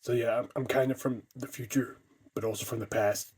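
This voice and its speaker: A man voicing a curious, teasing cyborg undead creature in an improvised toy skit, with halting restarts. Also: medium pitch, steady tone, darkish tone, slightly rough, natural voice. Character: cyborg undead male mascot, curious and needling.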